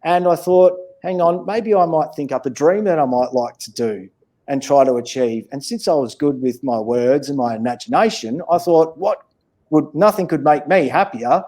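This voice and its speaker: Mellow Australian man, slightly high-pitched voice. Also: normal speed. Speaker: children's author, interview guest. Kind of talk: casual interview for children.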